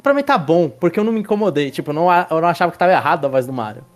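A man's voice: fairly high pitch, expressive, racing, soft background music. A man hosting a casual film review podcast, rambling with restarts and tag questions as he leads the talk. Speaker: podcast host.

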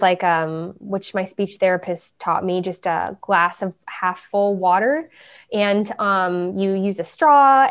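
A woman's voice: medium pitch, smooth. Chatty young woman with many fillers. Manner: chatty, frequent fillers and restarts. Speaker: guest, Broadway performer. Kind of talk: casual interview answers.

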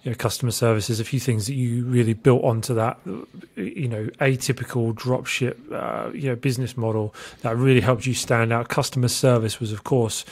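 A man hosting an interview, asking questions in an encouraging, casual way with halting, restarted phrasing. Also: mid-range voice, fairly even intonation, creaky, a little mellow. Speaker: podcast host, interviewer.

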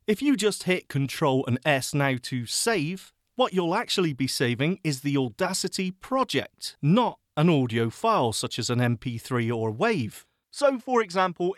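A man's voice: a little high, no room sound. Male tutorial host, chatty and self-deprecating, dry close-miked sound. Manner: chatty, self-mocking, animated asides. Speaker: podcast host teaching audio editing. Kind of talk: screen-reader software tutorial.

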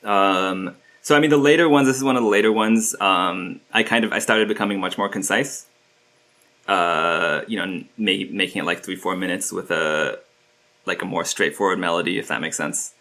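A man's voice: low voice, moderate pace, sharp tone. A man from Turkey, a guest musician, talking casually in an interview, rambling with many fillers and restarts.

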